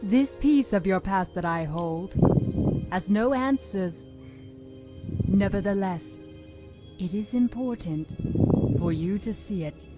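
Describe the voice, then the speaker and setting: A woman voicing a calm, menacing demon of wrath with solemn, deliberate delivery in a visual novel game. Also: mid-range voice, loud music behind the voice. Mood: solemn, cryptic. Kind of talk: dramatic visual novel voice acting.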